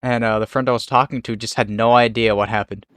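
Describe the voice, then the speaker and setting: A man's voice: mid-range voice, steady tone, quick pace, a bit bright, no echo. Dry-sounding casual young man. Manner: easygoing, laughs easily. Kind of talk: casual chat.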